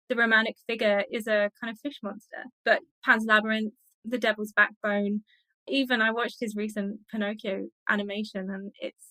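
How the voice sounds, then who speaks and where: A woman's voice: fairly high pitch, pushed and bright, no room sound, rough recording. A woman speaking as an interview guest, chatting casually and enthusiastically like an academic who loves the subject. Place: indoor office on a video call.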